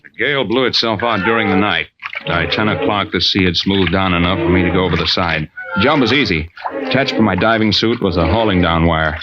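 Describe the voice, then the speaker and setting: An actor playing a determined, stubborn navy diver in an old-time radio drama, terse and urgent. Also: male voice, sits low, a little fast, over loud music, close to an everyday voice. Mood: sober narration. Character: determined, stubborn male navy deep-sea diver and narrator. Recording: dull, noisy vintage radio recording.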